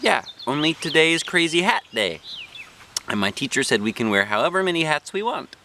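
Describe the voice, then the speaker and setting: Man voicing excitable, dramatic little schoolgirl, lively pitch. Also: a little fast, a little hiss or hum, full character voice. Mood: fibbing. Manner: childlike grammar, emphatic.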